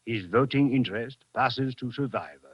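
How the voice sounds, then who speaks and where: An actor playing a formal, courteous French police official, measured and polite, in 1940s radio drama style. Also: male voice, medium pitch, slightly rough, lightly acted. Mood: formal, composed. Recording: muffled vintage 1940s radio recording.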